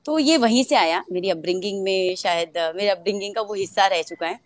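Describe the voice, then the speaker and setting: A young woman from Mumbai, an actress chatting in an interview, laughing often and pausing with many hesitations. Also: medium pitch, no room sound. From Mumbai, India. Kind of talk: casual interview chat.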